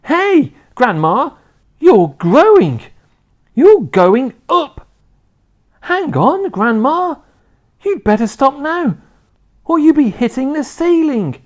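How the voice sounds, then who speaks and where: A woman's voice: mid-range voice, very animated, takes it slowly, hardly any pauses, darkish tone, pitched above the performer's own voice. A woman voicing an eager young boy who exclaims and pleads with his grandmother. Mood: alarmed.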